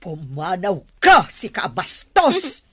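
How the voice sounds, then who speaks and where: An indignant older mother-in-law voiced by an actress scolds in a drama. Her voice is rough and husky, leaping widely as she lashes out in outrage. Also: fairly low pitch, huge pitch swings, slow, pushed and bright, exaggerated voice. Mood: outraged.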